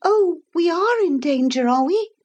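A woman's voice: very high voice, lively intonation, darkish tone, voice pushed up. A woman voicing an earnest, anxious little girl in a storybook reading, with a breathless, eager way of talking. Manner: breathless, earnest, quick to apologize. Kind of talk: audiobook character voice.

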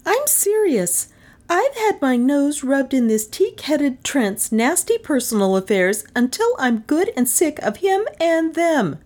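Woman acting brash, high-voiced, indignant young woman. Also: sing-song, a little slow. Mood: defiant. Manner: loud, blunt, defiant.

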